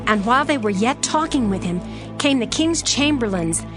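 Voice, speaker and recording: Woman narrator, formal scripture reading, measured, faint music behind. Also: fairly high pitch, lively intonation.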